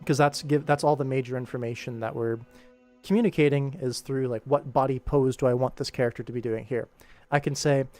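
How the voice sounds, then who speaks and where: A man with a medium-pitched voice talks casually on a work livestream. He explains his process and thinks aloud as a director, while music plays faintly behind him. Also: fairly even intonation, fairly quick, a little mellow.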